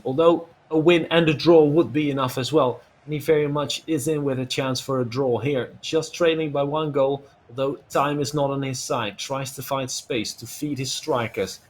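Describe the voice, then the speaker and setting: A man's voice: medium pitch, slight background noise. A man giving live play-by-play commentary on a football video game as an esports commentator.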